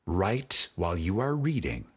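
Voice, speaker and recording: A man narrating a spiritual audiobook in a dramatic, solemn way, measured and with long pauses, his voice husky and breathy. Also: low voice, very animated.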